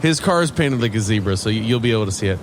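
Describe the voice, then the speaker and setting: A man's voice: fairly low pitch, lively intonation, racing, noisy background. A man hosting a podcast, chatting and telling stories casually with a dry, joking delivery. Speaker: podcast host. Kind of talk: casual chat and storytelling.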